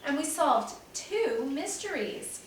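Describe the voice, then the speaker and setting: A woman doing an excited little boy. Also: high voice, slow, slight background noise, pitched above the performer's own voice, heavily acted. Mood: insistent. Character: young boy, eager and excitable. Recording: echoey room, mic at a distance.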